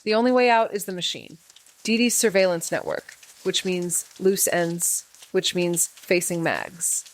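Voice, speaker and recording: A woman's voice: lowish voice, steady tone, measured pace, natural voice, rough recording. An actress playing a guilt-ridden, dogged woman investigator, narrating a noir audio drama in a wry, hard-boiled way. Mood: guilty, grim. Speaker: narrating lead character.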